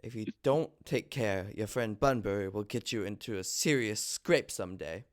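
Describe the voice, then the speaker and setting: A man reading an earnest, easily irritated young English gentleman in a stage comedy, speaking formally. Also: lowish voice, moderate pace, no room sound, clean studio sound, lightly acted. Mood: indignant, earnest.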